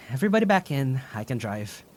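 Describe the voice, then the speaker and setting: An actress playing a weary, pragmatic traveler in a fantasy audio drama, practical and resigned in manner. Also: female voice, a bass voice, very animated, normal speed, darkish tone, exaggerated voice. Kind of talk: naturalistic audio drama acting.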